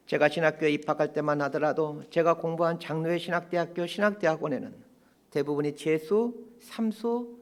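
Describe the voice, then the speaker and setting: A man in his fifties preaching a sermon as a pastor, in measured phrases with pauses and emphatic stresses. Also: fairly high pitch. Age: 50s. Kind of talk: sermon, prepared and reflective.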